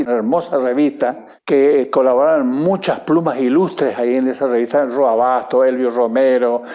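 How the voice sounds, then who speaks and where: Mellow-voiced man reminiscing. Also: medium pitch. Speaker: interviewee sharing memories. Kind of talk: reminiscing interview talk.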